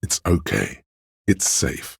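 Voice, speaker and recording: A man voicing a cool, cautious, principled police lieutenant in a video game, gravelly, controlled and deliberate. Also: no echo, studio quality, lightly acted. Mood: reassuring. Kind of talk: dramatic game dialogue, inner thoughts.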